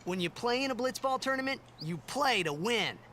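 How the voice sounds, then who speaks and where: A man's voice: extremely high pitch, lively intonation, moderate pace, crowd noise. A man voicing a cocky, eager teenage sports star in a video game, brash and emphatic. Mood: brash, insistent. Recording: game audio with music over it.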